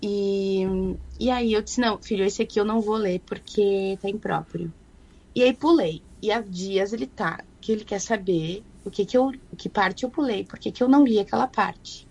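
A woman talks in an easy, reflective manner. Her voice sits at an ordinary middle pitch. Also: normal speed, vocal fry, a little mellow, poor audio. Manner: reflective, thoughtful. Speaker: guest educator. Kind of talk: conversational explanation.

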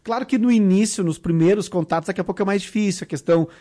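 An interviewer asking questions in a calm, conversational way. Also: mid-range voice, rapid-fire.

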